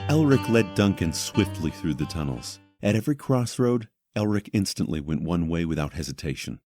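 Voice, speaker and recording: Deep male narrator for a fantasy audio drama, measured and dramatic, with music underneath. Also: very animated. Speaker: narrator and actor.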